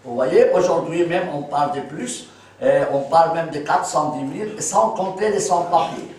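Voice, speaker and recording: Older guy from palestine lecturing, high-ish voice, super echoey and far off the mic. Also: normal speed, darkish tone. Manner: explanatory, asks listeners for agreement. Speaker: speaker at a public talk. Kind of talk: lecture with audience questions.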